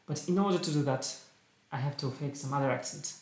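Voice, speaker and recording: A man's voice: medium pitch, a little fast, echoey room. A young man with a Russian-tinged English accent, chatting casually on a live stream and thinking aloud in short clipped phrases. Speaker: chess streamer. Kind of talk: casual live-stream chat while solving puzzles. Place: small room indoors.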